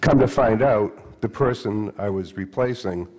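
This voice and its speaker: An older author recalling his past on stage, halting and soft with many pauses. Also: lowish voice. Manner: halting, storytelling, lots of fillers and restarts trailing soft. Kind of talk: onstage conversation. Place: stage of a hall with an audience. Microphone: handheld mic. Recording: long hall reverb.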